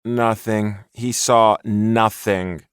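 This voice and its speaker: A man voicing a dry, unimpressed woman officer who speaks in a flat, matter-of-fact way, in an audiobook. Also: on the low side, drawn-out words, clean tone, dry recording, pitched above the performer's own voice.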